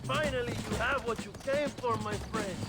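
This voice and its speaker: Very high-pitched rebel. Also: male voice, normal speed. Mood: satisfied. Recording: loud music under the dialogue.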